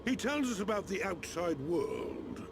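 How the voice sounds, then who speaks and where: Jovial old village chief, slow delivery with huge pitch swings, game cutscene, heavy background noise. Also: male voice, sing-song, heavily acted. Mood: amused, friendly. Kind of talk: video game cutscene voice acting.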